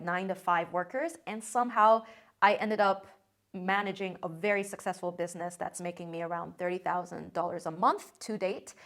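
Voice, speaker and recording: A woman's voice: mid-range voice, a bit bright. A woman in her twenties with an American accent, talking casually to camera in a chatty, upbeat way with frequent "like" and "um" fillers. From China. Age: 20s. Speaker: content creator. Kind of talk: casual vlog talk to camera.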